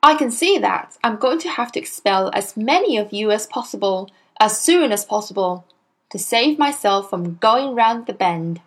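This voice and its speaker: A woman's voice: mid-range voice, expressive, moderate pace. A woman voicing a furious, bullying headmistress who barks and bellows her orders while reading a storybook aloud. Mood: contemptuous. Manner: bellowing, contemptuous, barking orders.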